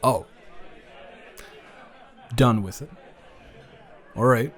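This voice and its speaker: Slow-talking, awkward, joking young man acted out. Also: mid-range voice, a faint crowd. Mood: awkward, probing. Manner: hesitant, wordy, self-deprecating joking with stammers. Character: joking, awkward, smitten young man, jealous casual lover. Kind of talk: improvised intimate audio roleplay.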